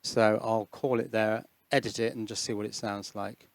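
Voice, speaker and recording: A man's voice: lowish voice, steady tone, moderate pace, creaky, no echo. A man giving an informal hands-on electronics demonstration on a headset mic, musing and hesitant as he thinks aloud. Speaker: host of an electronics hobby channel. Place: indoors at an electronics workbench. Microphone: headset microphone.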